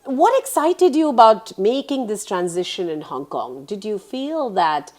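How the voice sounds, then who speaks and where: A woman's voice: medium pitch, very animated, unhurried, darkish tone. A woman hosting an interview, asking curious, encouraging questions. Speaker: interview host. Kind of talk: casual interview.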